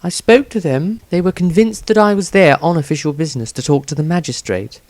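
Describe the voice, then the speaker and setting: A gentle, earnest young priestess played by a man in a fantasy audiobook. The voice is pitched well up, anxious and carefully formal, recorded very dry and close. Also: a little fast, dry recording, close to an everyday voice. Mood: troubled, urgent.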